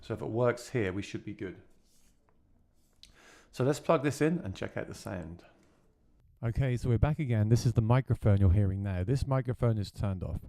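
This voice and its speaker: Brief: a low, dark-toned man, relaxed gear reviewer, dry close sound. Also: moderate pace, pauses often, dry recording. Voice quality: deep voice. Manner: chatty, plain-spoken. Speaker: gigging musician reviewing gear.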